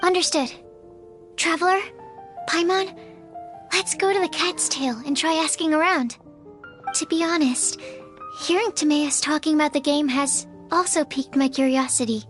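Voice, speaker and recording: A woman's voice: sits high, moderate pace, pauses often, a bit bright, faint music behind. A woman voicing a polite, studious young researcher in a video game, composed and articulate. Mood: polite, curious. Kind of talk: video game character acting.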